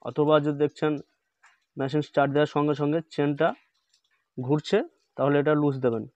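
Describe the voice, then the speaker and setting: A man talks through a repair, repeating each instruction step by step. His voice sits a little high and stays level, with little rise and fall. The sound is dry and slightly dull, like a phone recording. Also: flat delivery, a little fast, darkish tone, dry recording. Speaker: repair channel host. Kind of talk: hands-on repair tutorial. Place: indoor workbench.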